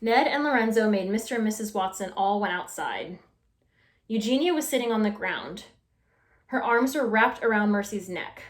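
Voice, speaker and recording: A woman's voice: medium pitch, lively intonation, normal speed. A woman reading a children's story aloud expressively, as a storytime reader. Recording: roomy echo.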